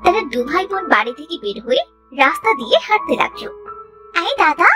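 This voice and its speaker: A woman's voice: high voice, sing-song, fast, over music. A woman narrator reading a scripted children's story.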